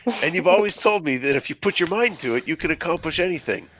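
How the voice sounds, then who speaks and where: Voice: medium pitch, lively intonation, fast talker, a bit bright. A performer reading the part of an earnest, anxious teenage boy in a film script, with an urgent manner. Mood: pleading. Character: earnest, anxious seventeen-year-old boy time traveler. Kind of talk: table read of a film script.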